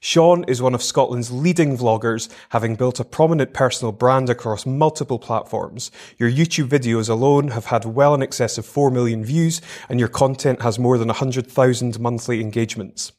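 A man hosts an interview podcast, first reading a tidy introduction and then slipping into easy conversation. His pitch is in the ordinary middle range for a man. He encourages his guest with brief "yeah"s and appreciative remarks. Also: darkish tone. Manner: friendly, encouraging, quick backchannels.

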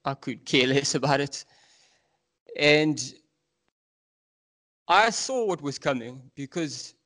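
A man in his thirties from South Africa, with a South African accent, talking earnestly and at length as a podcast guest. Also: mid-range voice. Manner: earnest, fast-flowing, passionate explaining. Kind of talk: casual interview talk.

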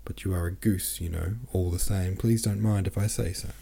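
A man's voice: low voice, steady tone, moderate pace, vocal fry, dark tone, husky, heavily acted. A man reading the lines of an emotional, outspoken older woman in a storybook, lightly acted in his own voice. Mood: brisk.

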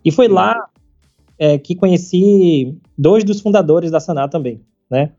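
A man, chatting casually as a podcast guest, halting and full of fillers and casual slang. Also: sits high, animated, warm tone. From Salvador, Brazil. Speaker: startup founder, podcast guest. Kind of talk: casual conversational interview. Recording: slightly muffled, faint background music.

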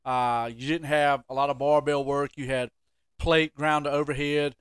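A man hosts a relaxed sports podcast. His voice sits a little high and sounds clean and smooth, in a dry recording. He talks casually and likes to needle whoever he is chatting with. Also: normal speed, no room sound. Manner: teasing, ribbing. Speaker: podcast host.

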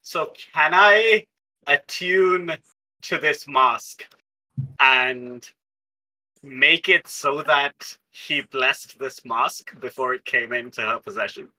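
A man's voice: mid-range voice, slow pace, bright, forceful tone, poor audio. A man playing a tabletop game, chatting casually and playfully as he thinks aloud. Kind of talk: casual improvised game chat.

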